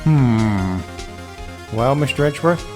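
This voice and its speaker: A man's voice: medium pitch, expressive, takes it slowly. A man acting an authoritative older courtroom judge in a video game drama, formal and musing. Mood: deliberating. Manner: formal, musing, hesitant hums. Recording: music clearly under the voice.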